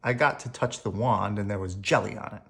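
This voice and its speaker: An adult reading a picture book aloud to children in an expressive storytelling style. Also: sits low, a little fast, vocal fry.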